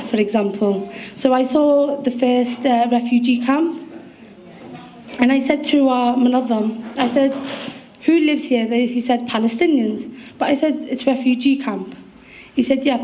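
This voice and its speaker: A woman's voice: fairly high pitch, mellow, a little hiss or hum, rough recording. A young woman in her twenties with a Liverpool accent, a guest poet speaking earnestly to an audience, with some fillers. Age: 20s.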